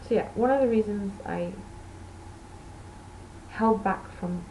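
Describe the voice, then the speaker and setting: A woman's voice: mid-range voice, slow, warm tone, a little hiss or hum, rough recording. A woman from Portugal, a native Portuguese speaker, hosting a knitting podcast in rambling, self-correcting casual chat.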